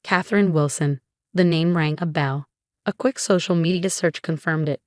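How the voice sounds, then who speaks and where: A woman's voice: low-pitched, normal speed, no echo, clean studio sound. A woman reading a scripted first-person dramatic story as its narrator.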